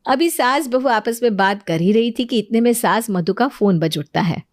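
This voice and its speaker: A woman's voice: on the high side, normal speed, no echo, clean studio sound. A woman storyteller reading scripted narration for a moral story.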